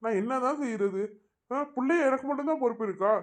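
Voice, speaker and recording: A woman's voice: high voice, animated, quick pace. A woman voicing a harried young daughter-in-law in a cartoon, complaining and pleading. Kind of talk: cartoon voice acting.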